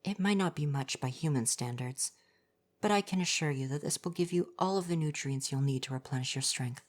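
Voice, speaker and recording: A man playing a gentle, coy and flirty forest spirit in an ASMR roleplay, speaking softly, at times breathily, in a formal, reassuring way. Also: a little high, a little fast, close to an everyday voice. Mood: caring, welcoming.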